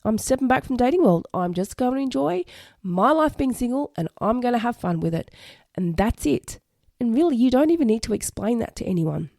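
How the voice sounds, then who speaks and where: A woman talks through relationship advice on her podcast, a bit croaky and hoarse after being sick. Her tone is warm and mellow, close to the mic in a dry room. She is earnest and reassuring, repeating her main points. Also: fairly high pitch, expressive, a little fast, no room sound. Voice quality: slightly hoarse. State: croaky from recent illness. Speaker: podcast host. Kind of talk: solo advice podcast talk.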